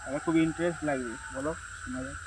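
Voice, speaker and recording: A man's voice: on the high side, fairly even intonation, fast, close to an everyday voice. A man playing an eager, easily spooked friend listening to ghost tales in an amateur horror skit. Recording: noisy night recording with insects.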